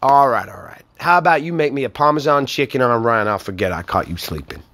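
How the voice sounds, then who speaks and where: An actor as a teasing small-town boss, very animated. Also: male voice, mid-range voice, quick pace, lightly acted. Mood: needling, amused. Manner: drawling, needling, amused, folksy. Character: breezy, teasing small-town male store owner, older than his employee. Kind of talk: naturalistic audio drama acting.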